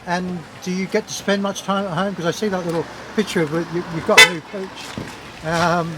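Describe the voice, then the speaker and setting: A man's voice: high-pitched, fairly even intonation, moderate pace. A man interviewing a cyclist's team director outdoors, asking casual, chatty questions with a teasing edge. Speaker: cycling reporter. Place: outdoors at a race finish. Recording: outdoor crowd noise behind. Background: crowd and vehicles.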